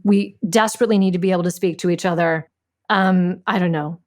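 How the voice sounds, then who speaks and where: A woman interviewing in a thoughtful conversation, with searching, halting phrasing as she works toward her questions. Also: lowish voice, fairly even intonation. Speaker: playwright and interviewer.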